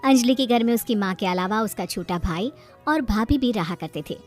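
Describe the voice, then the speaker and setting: A woman's voice: medium pitch, animated, moderate pace, soft background music. A woman reading scripted story narration as the narrator.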